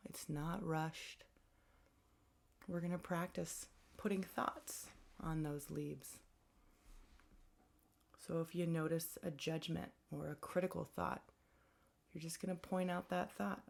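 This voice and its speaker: Low, creaky-voiced woman guiding meditation. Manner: gentle, invitational, conversational phrasing. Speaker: meditation guide, counselor. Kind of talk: guided meditation instruction.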